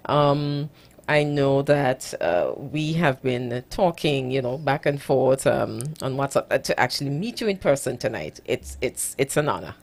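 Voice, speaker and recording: Slow talking lady radio host, deep voice, kinda halting, chatting with a guest. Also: animated. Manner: halting, repeats phrases. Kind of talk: conversational interview hosting.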